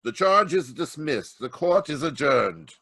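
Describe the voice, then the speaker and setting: A man plays a stern Italian elder sitting as judge in a radio play. He speaks slowly and commandingly in a highish, strongly modulated voice with an Italian accent. The sound is dry. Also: sing-song, pushed and bright, breathy edge, no echo, exaggerated voice. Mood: solemn, deliberate. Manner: deliberate, commanding. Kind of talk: old-time radio drama acting.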